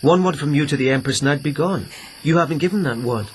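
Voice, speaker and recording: A man's voice: fairly high pitch, quick pace, slight background noise, lightly acted. Yukinari, a man playing a probing, persistent officer. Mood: insistent. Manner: probing, insistent, dryly teasing. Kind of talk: radio drama acting.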